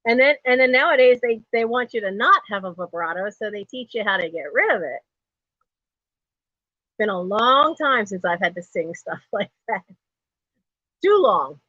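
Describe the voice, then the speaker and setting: A middle-aged woman with a low speaking voice, hosting a live online sale from home in a rambling, chatty way that is full of laughs. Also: lively intonation, normal speed, long pauses, pushed and bright, no echo. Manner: chatty and digressive, laughs often. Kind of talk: rambling casual live-stream chat. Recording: dull lo-fi stream audio.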